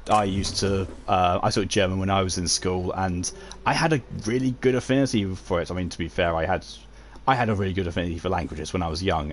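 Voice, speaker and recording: A man's voice: low voice, a little hiss or hum. A man casually chatting while streaming a video game, rambling and often chuckling as he answers chat. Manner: reads and answers chat messages while playing.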